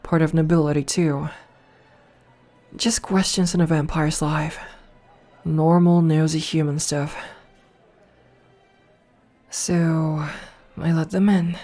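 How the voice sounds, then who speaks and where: A voice actress playing a dominant, flirtatious noble vampire princess in a soft, slow ASMR roleplay, recorded close to the mic. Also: female voice, deep voice, fairly even intonation. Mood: darkly confiding. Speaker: voice actor. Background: faint music.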